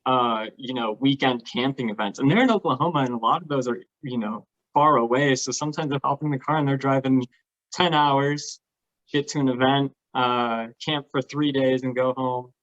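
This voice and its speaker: A man's voice: mid-range voice, steady tone, normal speed, a bit bright, dull audio. A man talking casually and enthusiastically, explaining things as a guest on a talk show. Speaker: guest, LARP participant.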